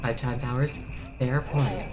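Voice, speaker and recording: A woman's voice: rumbling low, a little slow, background noise. A woman streamer with a stuffy nose, musing wryly aloud as she plays a video game. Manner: wry, thinking aloud. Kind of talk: casual gameplay commentary. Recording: game music behind the voice.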